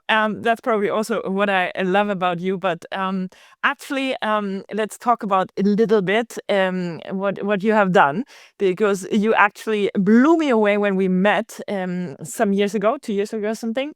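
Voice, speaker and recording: A woman hosting a podcast in a casual, halting way, thinking aloud as she goes. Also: medium pitch, clear, resonant tone, no echo, clean studio sound. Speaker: podcast host. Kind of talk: casual interview chat.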